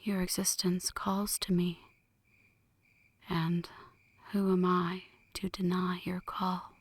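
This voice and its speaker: A woman playing a flirty, brooding female vampire in an ASMR roleplay, speaking in hushed, breathy whispers with a teasing, poetic delivery. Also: on the low side, flat delivery, slow, dry recording. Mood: teasing, seductive. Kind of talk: ASMR roleplay, scripted dramatic monologue.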